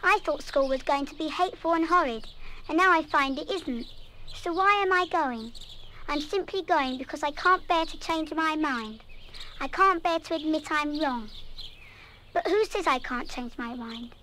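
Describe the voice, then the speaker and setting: A woman playing a headstrong, impulsive but warm-hearted English schoolgirl in an audio drama. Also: sits high, moderate pace, a little hiss or hum. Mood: indignant, resolute. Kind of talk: full-cast audio drama acting.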